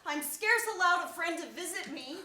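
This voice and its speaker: A tearful young prince in a classical stage tragedy, played by a woman. The voice is extremely high, with plaintive, old-fashioned verse delivery. It rings with the echo of a theater. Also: expressive, normal speed, pushed and bright, full character voice. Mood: plaintive, fearful. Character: frightened, tearful, innocent young boy prince. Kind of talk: classical Shakespearean stage acting. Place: large theater stage with seated audience. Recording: echoey theater stage from afar.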